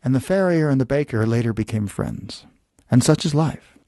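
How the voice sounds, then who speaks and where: A man telling a bedtime story as host, with deliberate phrasing and dramatic pauses. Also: mid-range voice, lively intonation, dark tone, dry recording, studio quality.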